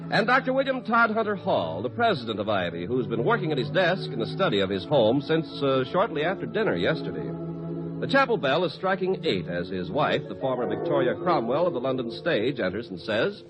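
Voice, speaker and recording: American male radio announcer. Polished, scripted delivery, big pitch swings, loud music behind. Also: sing-song, brisk, muffled recording. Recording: old radio broadcast over loud music.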